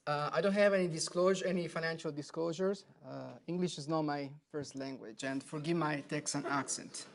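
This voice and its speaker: A surgeon from Italy with an Italian accent gives an academic lecture, pausing often with fillers and restarting phrases. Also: male voice, fairly high pitch, fairly even intonation, vocal fry. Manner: hesitant, many fillers and restarts. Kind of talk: academic lecture at grand rounds. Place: lecture hall.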